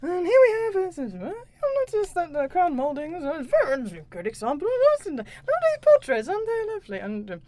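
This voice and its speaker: A woman's voice: sits high, big pitch swings, a little fast, a little mellow. Woman putting on a gushing, very posh manor host. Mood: gushing, rambling. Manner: plummy, gushing, meandering. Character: very posh person showing guests round a manor. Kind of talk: improvised comic background chatter for audio drama.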